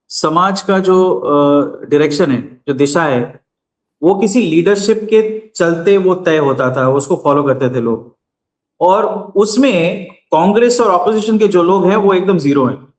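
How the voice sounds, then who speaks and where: A man with a high voice lays out his argument like a lecture, measured and steady with small hesitations. His voice rings in a roomy, echoey space. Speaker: guest commentator. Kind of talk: explanatory political commentary.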